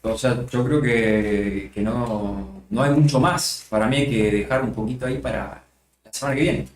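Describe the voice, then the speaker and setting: A man from Salta with an Argentine Spanish accent, a guest on a casual home stream, speaking softly and hesitantly with many fillers. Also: medium pitch, moderate pace, reverberant, a little hiss or hum. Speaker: guest co-host.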